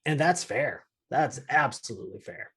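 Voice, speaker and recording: A man's voice: mid-range voice, lively intonation, moderate pace, fairly bright tone, slightly rough. A man co-hosting a podcast in casual, unscripted conversation. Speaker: show co-host.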